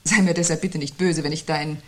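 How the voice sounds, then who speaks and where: An actress playing a weary, sceptical wife who answers gloom with dry, pointed, controlled lines in a husky voice. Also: female voice, deep voice, steady tone, brisk, fairly bright tone. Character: sceptical, weary wife, dryly resisting her partner's gloom. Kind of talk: 1970s German radio play acting.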